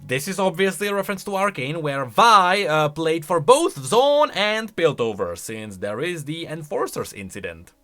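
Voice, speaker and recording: A man's voice: on the high side, very animated, moderate pace, few pauses, fairly bright tone, faint music behind. A man giving casual, chatty commentary on video game lore, thinking aloud with emphatic delivery.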